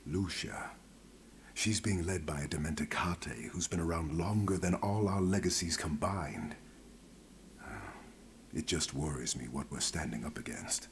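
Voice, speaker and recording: An actor playing a grave, worried male companion in a game, speaking in a hushed, earnest way. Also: extremely low pitch, a little slow, slight background noise.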